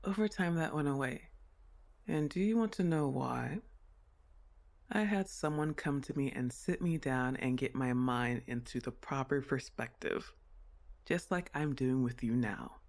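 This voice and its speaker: A woman's voice: a bass voice, unhurried, darkish tone. A woman playing an awkward, well-meaning sleep paralysis demon in an ASMR roleplay, talking in a hesitant, flustered and reassuring conversational way. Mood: reassuring, earnest.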